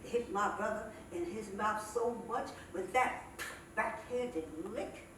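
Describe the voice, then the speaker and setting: A woman performing as a sassy, cranky old woman comedian, telling stand-up stories in a folksy, drawn-out way with dramatic pauses. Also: medium pitch, fairly bright tone, lightly acted. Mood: sassy, scolding. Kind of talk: theatrical stand-up storytelling monologue. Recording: echoey, noisy stage recording from far off.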